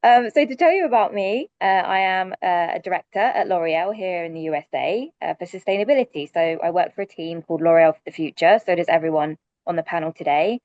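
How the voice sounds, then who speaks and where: A woman's voice: lowish voice, brisk, few pauses, no room sound, lo-fi sound. A woman with a London accent, a sustainability director cheerfully introducing herself on a video call webinar, with frequent ums. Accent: London English. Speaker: sustainability director, panel moderator.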